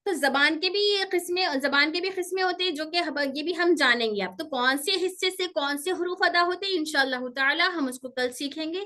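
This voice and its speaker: A woman's voice: sits high, fairly quick, few pauses, bright, forceful tone. A woman teaching a pronunciation class, explaining step by step, repeating key terms and answering her own questions, with English terms mixed in. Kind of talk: online class lecture.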